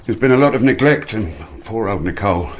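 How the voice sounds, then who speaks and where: A man's voice: on the low side, animated, fairly quick, a crowd in the background, lightly acted. An actor in a radio drama playing an odd, grumbling old man next door, apologetic and rambling. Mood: apologetic, gloomy. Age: older. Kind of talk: naturalistic radio drama acting.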